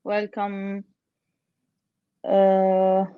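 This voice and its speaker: A woman's voice: mid-range voice, monotone, clear, resonant tone. A woman co-hosting an online workshop over a video call, reading out chat messages and greeting participants. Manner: warm greetings, unhurried. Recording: muffled video call audio.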